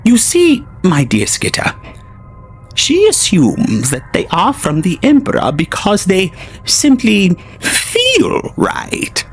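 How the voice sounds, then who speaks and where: A man's voice: high-pitched, wildly swooping pitch, slow, faint music behind, pitched above the performer's own voice, exaggerated voice. A man voicing a sinister, theatrical male manipulator with a silky, mocking delivery in an audio drama. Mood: menacing.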